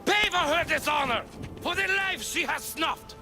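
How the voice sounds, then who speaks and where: An actor playing a vengeful Spartan elder in a video game, shouting harsh condemnations in a declamatory way. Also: male voice, extremely high pitch, lively intonation, measured pace, faint music behind, full character voice. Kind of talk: dramatic video game acting.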